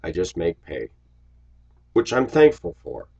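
A man's voice: low voice, huge pitch swings, unhurried, vocal fry, mellow, slightly rough. An American man in his forties, congested from a cold, talking reflectively and casually to viewers in a rambling confessional vlog. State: congested, getting over a cold. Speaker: vlogger. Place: small indoor room with shelves. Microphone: webcam microphone at desk distance. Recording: dull lo-fi webcam audio.

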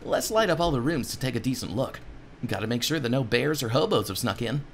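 A man's voice: medium pitch, big pitch swings, brisk, slight background noise. A man voicing a plucky, boastful young adventurer in a cartoon game, with theatrical, self-satisfied declarations. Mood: brisk, appraising. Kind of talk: game dialogue read aloud as a character.

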